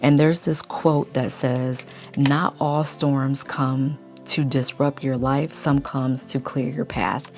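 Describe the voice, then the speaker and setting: Woman, low-pitched gravelly morning voice, quiet casual vlog chat, faint background music. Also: fairly even intonation, slow, few pauses. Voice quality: deep morning voice. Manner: speaking low to stay quiet. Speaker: lifestyle YouTube vlogger.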